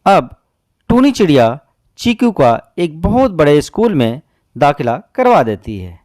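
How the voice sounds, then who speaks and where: A man's voice: fairly high pitch, big pitch swings, a little slow, a little mellow, dry recording, clean studio sound. A man narrating a children's moral story in a scripted storytelling style.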